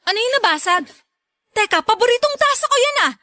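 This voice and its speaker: A woman's voice: very high-pitched, expressive, brisk, pushed and bright, no echo, clean studio sound, exaggerated voice. A woman playing a hot-tempered, resentful older sister in a radio drama, scolding and confrontational. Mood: angry.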